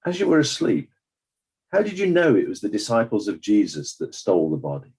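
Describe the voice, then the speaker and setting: Guy acting as a sceptical coroner in a courtroom drama, formal and probing, mellow slightly husky voice. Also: lowish voice, wildly swooping pitch, a little fast, full character voice. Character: stern, sceptical coroner questioning witnesses at an inquest.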